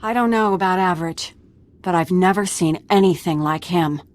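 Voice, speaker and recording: A woman's voice: on the low side, normal speed, lightly acted. An actress playing an earnest female civilian survivor, explaining things sincerely in a video game. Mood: earnest, troubled.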